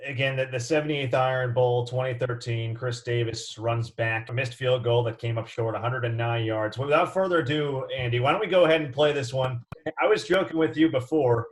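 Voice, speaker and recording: Mid-pitched American male sports interview host. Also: fairly quick.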